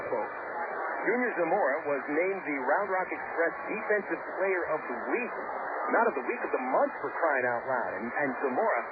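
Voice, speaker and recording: A man doing folksy, descriptive live play-by-play of a baseball game as a radio announcer. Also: a little high. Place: ballpark broadcast position. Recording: noisy, narrow-band AM sports radio.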